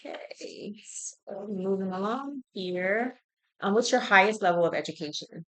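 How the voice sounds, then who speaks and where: A woman's voice: medium pitch, measured pace, a bit bright, low-quality recording. A woman working as a healthcare intake interviewer, asking health history questions conversationally and methodically, checking each answer.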